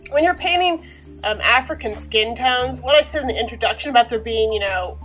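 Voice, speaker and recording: A young woman, slightly hoarse from a cold, chatting casually through a painting tutorial in a small bedroom, recorded on the camera mic with many fillers. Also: on the high side, lively intonation, brisk, fairly bright tone, soft background music, poor audio.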